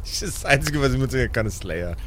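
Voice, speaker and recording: A man's voice: mid-range voice, animated, quick pace, a bit bright, a little hiss or hum. A man improvising casual in-character dialogue in a role-play podcast, slangy and somewhat exasperated.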